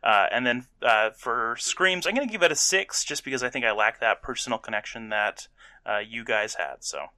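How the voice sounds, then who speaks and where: A man's voice: medium pitch, expressive, normal speed, sharp tone, dry recording. A man talking casually as a podcast co-host.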